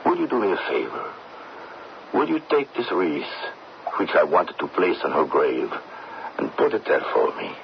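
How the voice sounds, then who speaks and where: Solemn male doctor, very low and creaky, gentle, halting old radio delivery. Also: very animated, heavily acted. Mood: gentle, grave. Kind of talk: old-time radio drama acting. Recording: noisy, dull old radio broadcast.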